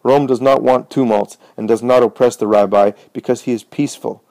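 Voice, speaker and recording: A man voicing a rowdy, indignant crowd of poor townsmen hurling shouted insults and cries. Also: high-pitched, very animated, takes it slowly, creaky, no echo, voice pushed up, full character voice.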